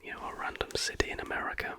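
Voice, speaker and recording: A British ASMR host whispering casual, chatty reaction commentary in a hushed, informal, rambling way. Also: rapid-fire.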